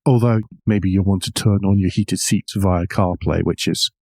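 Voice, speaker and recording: Male podcast co-host, middle-aged, lowish pitch, wry and conversational, very dry close recording. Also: steady tone, normal speed, creaky, darkish tone, dry recording. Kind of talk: casual discussion of car news.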